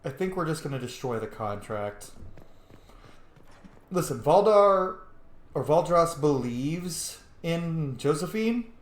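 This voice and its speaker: A man's voice: on the high side, big pitch swings, slow pace, echoey room. A man giving casual commentary while playing a game, thinking aloud as he goes. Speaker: gamer narrating a roleplay playthrough.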